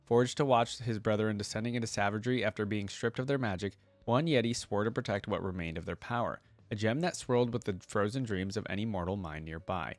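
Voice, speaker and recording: A man's voice: lowish voice, brisk, hardly any pauses, dry recording, soft background music. A man hosting a reaction video, speaking in a casual way as he comments and reads aloud.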